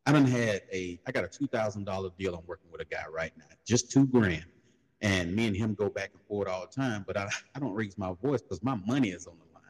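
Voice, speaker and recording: A man talks in an easy, laid-back way and tells street stories. His voice sits low and a little husky. A long reverb trails behind every phrase. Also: quick pace. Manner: laid-back storytelling, slips into loud high mocking voices when quoting others. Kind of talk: casual panel discussion.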